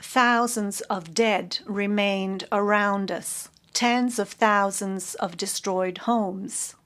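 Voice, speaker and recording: Female court interpreter, middle pitch, very slow and halting, corrects herself. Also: fairly even intonation, a bit bright. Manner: measured, halting, with self-corrections. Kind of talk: interpreting a formal statement.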